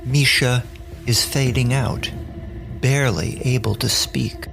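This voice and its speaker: Guy narrating a story podcast, gravelly, takes his time, music playing behind. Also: mid-range voice, fairly even intonation, slow. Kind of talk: scripted audio drama narration. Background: music bed.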